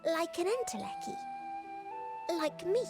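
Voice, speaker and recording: A woman voicing an innocent, eager, childlike bird-girl creature in a video game, speaking in simple, halting phrases. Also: very high-pitched, huge pitch swings, over music, exaggerated voice. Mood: curious, wondering.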